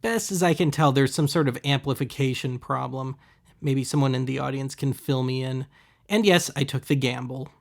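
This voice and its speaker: A man hosting a web series, giving casual, deadpan, wry voice-over commentary on thrift finds. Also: medium pitch, normal speed, gravelly, a little hiss or hum. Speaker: host of a web video series.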